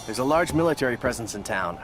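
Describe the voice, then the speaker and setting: A man's voice: mid-range voice, lively intonation, quick pace, over loud music, lightly acted. An actor playing an eager, nervy young male informant in a video game, chatty and informal in manner. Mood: guarded.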